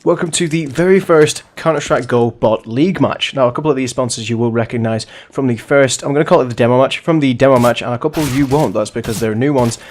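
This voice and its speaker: A young man, hoarse and sniffly from the flu, giving rambling, chatty commentary on a bot match. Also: medium pitch, fast talker, hardly any pauses. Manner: rambling, self-correcting, chatty. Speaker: host and commentator of a bot league. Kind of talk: casual gaming commentary.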